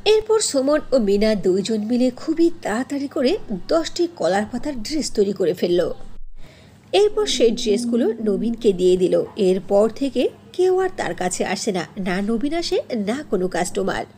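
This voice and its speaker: A woman's voice: on the high side, big pitch swings, normal speed, warm tone, a little hiss or hum. A woman narrator reading a scripted fairy tale for a cartoon.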